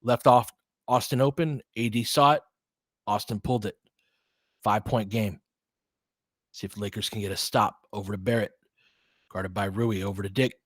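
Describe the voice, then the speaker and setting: A man's voice: lowish voice, steady tone, normal speed, pauses often, no echo, studio quality. A man doing live basketball play-by-play as a partisan fan, in short clipped phrases with casual, slangy exclamations and bits of analysis. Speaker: fan play-by-play commentator and host.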